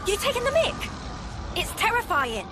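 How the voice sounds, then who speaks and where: A woman's voice: very high voice, huge pitch swings, normal speed, exaggerated voice. A woman playing an earnest, plucky young heroine in a dramatic video game, heartfelt and emphatic. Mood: urgent, defiant. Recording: loud music over dialogue.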